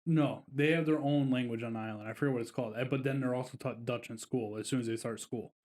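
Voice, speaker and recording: A man's voice: medium pitch, fairly even intonation, quick pace, a little mellow. Easygoing man telling a rambling story. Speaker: podcast co-host. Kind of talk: casual podcast chat.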